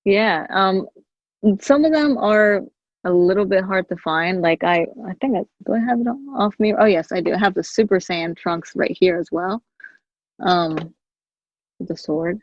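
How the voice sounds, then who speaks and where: A woman's voice: mid-range voice, moderate pace, no echo, lo-fi sound. A woman guest chatting cheerfully about her collection, giggling often in a casual interview. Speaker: guest, toy collector and YouTuber.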